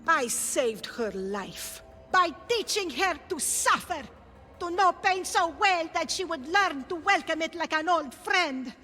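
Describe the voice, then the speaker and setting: A woman's voice: very high voice, animated, unhurried, bright, forceful tone, exaggerated voice. A woman voicing a sadistic, gloating cult priestess in a theatrical, menacing manner for a video game cutscene. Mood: fervent, menacing. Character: sadistic, gloating cult priestess who claims stolen children. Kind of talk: dramatic game cutscene acting. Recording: long reverb, music behind.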